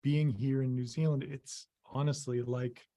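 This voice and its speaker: Halting middle-aged man from Maine. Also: mid-range voice, little pitch movement, warm tone. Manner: halting, many ums and restarts. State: losing his voice, clearing throat. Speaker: interview guest, visual effects artist. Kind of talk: casual conversation over video call. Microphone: video call.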